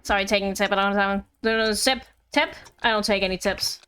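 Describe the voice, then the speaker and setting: A woman's voice: mid-range voice, fairly even intonation, fairly quick, a bit bright, clear, resonant tone. A giggly, playful woman live streamer chatting casually while she plays games. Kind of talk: casual gaming stream chat. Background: music.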